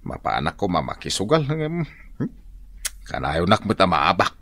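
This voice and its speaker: An actor with an Ilocano accent playing a gullible, jittery village farmer husband, hesitant and full of exclamations, in a radio comedy drama. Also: male voice, deep voice, wildly swooping pitch, moderate pace, gravelly, fairly bright tone, no echo, full character voice. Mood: uneasy.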